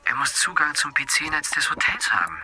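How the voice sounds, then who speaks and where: A man's voice: fairly high pitch, very animated, moderate pace, sharp tone, exaggerated voice, low-quality recording. A man playing a discreet, formal hotel clerk in a radio drama, courteous and addressing people as sir. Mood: worried.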